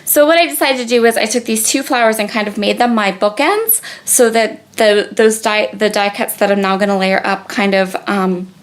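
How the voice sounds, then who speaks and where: A woman's voice: medium pitch, moderate pace, hardly any pauses. A young woman with a cold, chatting casually through a crafting voice-over, full of ums and restarts. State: sniffly with a cold. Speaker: scrapbooking video creator. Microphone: tablet on a gooseneck.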